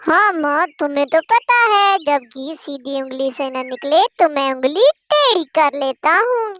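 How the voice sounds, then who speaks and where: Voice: sits high, lively intonation, measured pace, bright, forceful tone, no echo, exaggerated voice. A performer voicing a bratty, bossy young male crow bully in a children's cartoon, taunting and threatening. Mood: boastful. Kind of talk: Hindi children's cartoon voice acting. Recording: music behind the voice.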